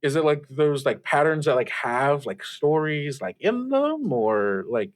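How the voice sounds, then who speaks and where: A man's voice: a little high, big pitch swings, unhurried. Stammering, earnest radio host played by a man. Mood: polite, curious. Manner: stammering, hesitant, self-effacing. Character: earnest, awkward young male radio host, easily flustered. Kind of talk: improvised audio drama, casual call-in chat.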